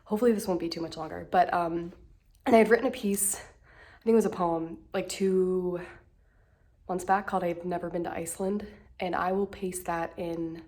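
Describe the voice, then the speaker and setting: Young woman in her twenties, a little low-pitched, stuffy with a cold, slightly out of breath, halting casual vlog delivery into a handheld phone. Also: fairly even intonation, a little mellow. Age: 20s. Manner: hesitant, lots of fillers and restarts, self-deprecating. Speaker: vlogger. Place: at home indoors. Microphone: phone held at arm's length.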